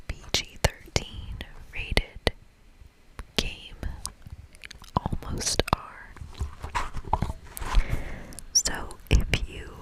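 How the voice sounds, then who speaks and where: Asmr gamer whispering a playthrough, super slow and soft, kinda chatty. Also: low-quality recording. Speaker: host playing a video game.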